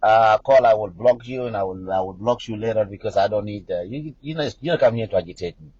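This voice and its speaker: A middle-aged South Sudanese man talks back at viewer comments in a husky, low voice. He is combative and repetitive and keeps hammering the same point. The sound is dull and lo-fi, and the room is dry. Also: quick pace, darkish tone, no echo. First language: Nuer. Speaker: livestream commentator. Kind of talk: livestream talk answering viewer comments.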